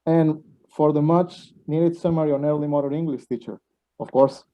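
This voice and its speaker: A man's voice: on the high side, fairly even intonation, normal speed, mellow, close to an everyday voice. A man acting an anxious, impatient, lovestruck young student in an amateur radio play, earnest and a little stilted. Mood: earnest, defensive. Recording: dull lo-fi home recording.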